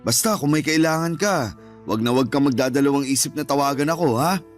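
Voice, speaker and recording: A man's voice: mid-range voice, fairly quick, faint music behind, lightly acted. An actor playing a devoted, reassuring middle-aged father in a Filipino radio drama, speaking affectionately and conversationally with a Filipino accent. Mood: happy, affectionate.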